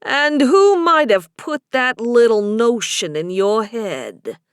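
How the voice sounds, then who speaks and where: A woman voicing a flirtatious, cagey male bartender and club owner with a Boston accent and a smooth, unhurried way of talking, for an audiobook. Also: a little high, lively intonation, no room sound, clean studio sound. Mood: cagey, teasing.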